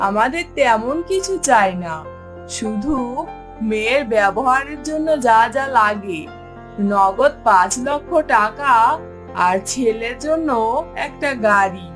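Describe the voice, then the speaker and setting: Slow, haughty cartoon mother-in-law, acted by a woman. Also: on the high side, animated, a bit bright. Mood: haughty, demanding. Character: status-minded, demanding mother of the groom. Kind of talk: cartoon dialogue acting. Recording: music behind the voice.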